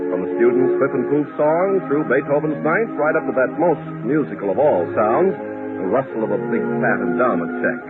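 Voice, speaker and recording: A man narrating as announcer for an old-time radio show in a measured, genial, wry scripted style. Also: mid-range voice, expressive, phone-quality sound, close to an everyday voice. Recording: thin old radio broadcast, loud music. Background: loud music.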